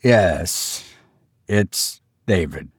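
Man acting a low, creaky, grim grieving doctor. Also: slow, dry recording, clean studio sound. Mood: numb. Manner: groaning, weary, pleading. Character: grim, horrified doctor, grieving husband and father.

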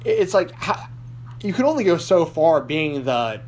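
A man hosts a casual podcast chat, circling his points with lots of likes and restarts. His voice sits a bit high. A faint hum runs underneath. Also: sing-song, moderate pace, a little mellow. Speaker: podcast host. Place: Nashville.

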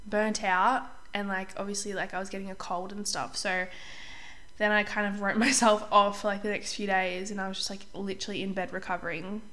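A young woman hosting a casual solo podcast as a life coach, chatty and upbeat, slightly hoarse while getting over a cold. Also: medium pitch, little pitch movement, a little fast, pushed and bright. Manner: chatty, upbeat, says "like" often, clears throat.

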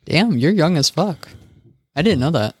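A man's voice: fairly high pitch, animated, moderate pace, breathy edge, no echo, clean studio sound. Young man with blunt short reactions. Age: 20s. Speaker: podcast co-host. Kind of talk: casual podcast banter.

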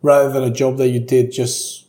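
Young male, Peruvian accent, native Spanish speaker, informal interview guest, warm mellow tone, roomy echo. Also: mid-range voice, little pitch movement, normal speed. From Peru. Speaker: guest, drinks brand ambassador. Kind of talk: casual interview conversation. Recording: echoey room.

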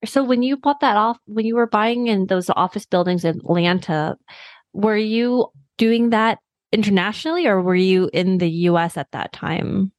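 A woman's voice: medium pitch, normal speed, no echo, clean studio sound. A woman hosting an interview podcast, speaking in a prepared, presenter style. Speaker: podcast host. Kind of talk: scripted podcast introduction and interview.

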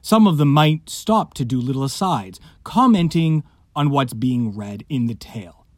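A man's voice: mid-range voice, animated, measured pace, no room sound, clean studio sound. A man reading a scripted podcast introduction as host, with playful emphasis on words.

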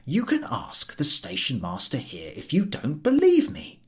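Man doing a high, officious, aggrieved ticket collector. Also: wildly swooping pitch, unhurried. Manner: whining, officious, stammering when cornered. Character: over-zealous, aggrieved, flustered male railway ticket collector. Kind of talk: dramatised storybook reading.

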